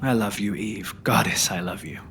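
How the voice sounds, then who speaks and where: A man's voice: lowish voice, moderate pace, creaky, fairly bright tone, faint music behind. An actor playing an immortal roguish thief in a sci-fi audio drama, an intimate, wry and devoted male lover. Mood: vulnerable, pleading. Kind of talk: full-cast sci-fi audio drama acting.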